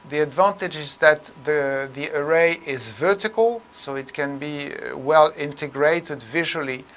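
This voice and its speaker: Halting man explaining tech, higher-pitched voice. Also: a bit bright. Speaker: technical presenter explaining loudspeaker technology. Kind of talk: explanatory tutorial narration.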